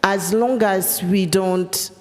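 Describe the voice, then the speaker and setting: A woman's voice: medium pitch, steady tone, takes it slowly, a little mellow. A woman whose first language is French, answering earnestly on a conference panel, with many fillers and repeated phrases for emphasis. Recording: echoey conference hall.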